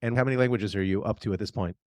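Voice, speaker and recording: A man's voice: on the low side, very fast, a little mellow, no echo. A man co-hosting a podcast, chatting in an easygoing, joking way. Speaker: podcast co-host. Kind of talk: casual podcast conversation.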